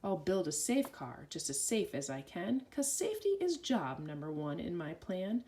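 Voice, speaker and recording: A woman reading a rhyming children's story aloud with an expressive, sing-song delivery. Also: lowish voice, moderate pace, a little hiss or hum.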